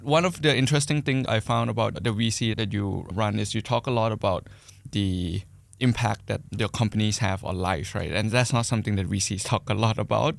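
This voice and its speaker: A man's voice: fairly low pitch, fairly quick, creaky, dry recording. A man hosting a podcast, introducing and interviewing a guest in a conversational style. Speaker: podcast host.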